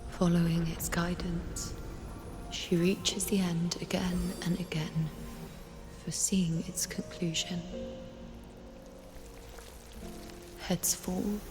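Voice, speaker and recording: A woman playing an anguished priestess seer in a video game, solemn, theatrical and often breathy. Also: on the low side. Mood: solemn foreboding. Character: anguished priestess seer despising her own visions of doom. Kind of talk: dramatic video game monologue. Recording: game music behind the voice.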